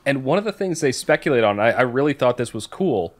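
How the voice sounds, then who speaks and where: A man co-hosting a podcast, casually discussing a book and thinking aloud in a halting way. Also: mid-range voice, big pitch swings. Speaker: podcast co-host.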